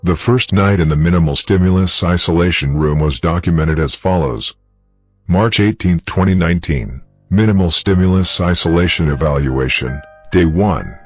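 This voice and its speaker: A man performs a clinical researcher with a deep voice, reading a case log for a horror story. His delivery is formal and detached. Soft music runs underneath. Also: moderate pace. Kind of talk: scripted horror story narration, case report.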